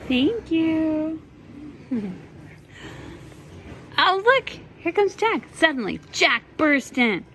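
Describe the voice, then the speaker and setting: A woman reading a picture book aloud to her small children as their mother, playfully exclaiming and chatting with them between lines in drawn-out, encouraging reactions. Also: sits high, very animated, a bit bright. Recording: noisy home recording with clear background noise.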